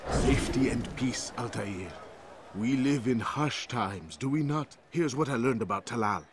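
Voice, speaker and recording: An actor playing a grave, courteous informant in a video game, speaking in a measured, confiding way. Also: male voice, mid-range voice, very noisy, natural voice. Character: grave, courteous male informant reporting quietly. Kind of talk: video game cutscene acting.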